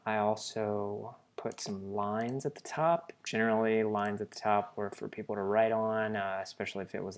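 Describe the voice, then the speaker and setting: Male tutorial presenter, low register, casual and explanatory, informal voice-over delivery. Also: a little mellow. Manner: informal, explanatory, thinking aloud. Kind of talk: casual software tutorial narration.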